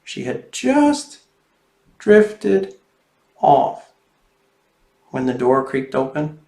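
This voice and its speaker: A man's voice: fairly high pitch, big pitch swings, drawn-out words, gravelly, a little mellow. A man who is a children's author, reading a storybook expressively and talking directly to young viewers. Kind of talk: animated storybook read-aloud and friendly address to children. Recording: roomy, echoey sound.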